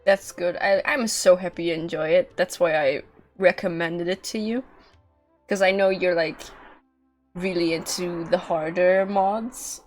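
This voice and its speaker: A female game streamer talks in a lowish voice. Her delivery is casual, rambling and informal, with game music playing behind her. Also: steady tone, a little slow, low-quality recording. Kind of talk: casual livestream chat.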